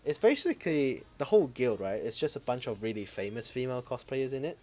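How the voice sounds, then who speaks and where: Co-host, male, easygoing gamer chat, mid-pitched voice, drifts off mid-sentence. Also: animated, fast, darkish tone. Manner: laid-back, swears casually, trails off searching for words. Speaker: podcast co-host. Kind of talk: casual gaming chat.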